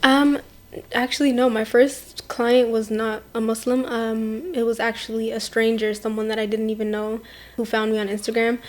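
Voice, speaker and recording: A woman's voice: fairly high pitch, flat delivery, normal speed. A young woman from Portland, Maine, a makeup artist talking casually as an interview guest, with many fillers. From Portland, Maine, USA. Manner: chatty, many fillers, quotes others.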